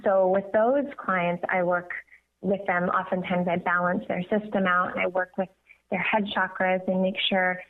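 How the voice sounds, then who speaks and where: A woman speaking earnestly as an interview guest, explaining her work as a voice coach over a phone. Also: on the low side. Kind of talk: conversational radio interview. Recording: tinny, narrow phone line.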